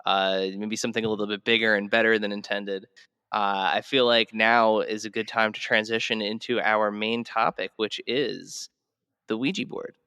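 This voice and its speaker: A man's voice: on the low side, steady tone, moderate pace, sharp tone, smooth, no echo, clean studio sound. A man hosting a podcast in casual chat, talking in rambling, hesitant phrases with repeated words. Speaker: podcast host.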